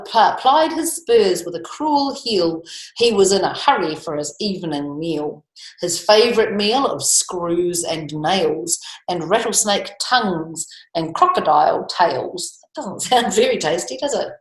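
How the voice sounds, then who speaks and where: A woman's voice: fairly low pitch, lively intonation, unhurried. A woman reading a children's story expressively, with chatty explanations and questions to her listeners. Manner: conversational, explaining, asking tag questions. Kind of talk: expressive read-aloud with chatty asides. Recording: echoey room.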